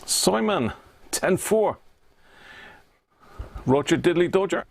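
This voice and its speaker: A man's voice: high-pitched, expressive, slow pace, creaky. A man giving casual, unscripted live commentary to himself as he works on a hobby repair stream. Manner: unscripted, muttering to himself while working.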